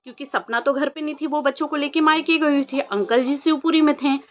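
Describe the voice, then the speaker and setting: A woman's voice: high voice, brisk. A woman vlogger giving informal opinion commentary, questioning insistently and repeating her points for emphasis. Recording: dull lo-fi audio with faint noise.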